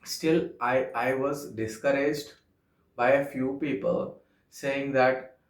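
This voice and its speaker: A young man from Andhra Pradesh whose first language is Telugu, speaking English with a Telugu accent in an earnest, halting vlog monologue full of "okay" fillers. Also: medium pitch, fairly even intonation, vocal fry. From Andhra Pradesh, India. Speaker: vlogger. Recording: echoey room.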